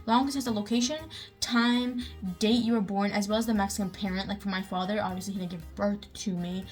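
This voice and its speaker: Young woman, casual how-to vlogger, rapid and filler-heavy, mid pitch. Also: fairly even intonation, faint music behind. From United States. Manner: chatty, lots of fillers like "you know" and "um".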